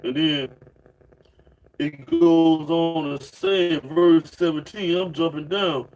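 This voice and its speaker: A man's voice: a little high, steady tone, takes it slowly, darkish tone, faint music behind, rough recording. Slangy, rambling man in his late thirties. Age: 30s. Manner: slangy, rambling, fills with "man" and "you know what I'm saying". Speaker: religious preacher. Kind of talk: informal preaching monologue with scripture reading.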